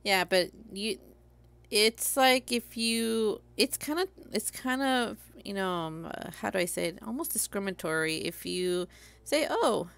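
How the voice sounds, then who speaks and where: A chatty Puerto Rican woman livestreaming from her craft table, talking in a Puerto Rican accent and a mid-range voice with a gravelly fry. She rambles casually as she works and laughs at her own tangents. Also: medium pitch, animated, unhurried, a bit bright. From Puerto Rico. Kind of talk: casual chat while crafting. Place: craft table at home, overhead camera on her hands.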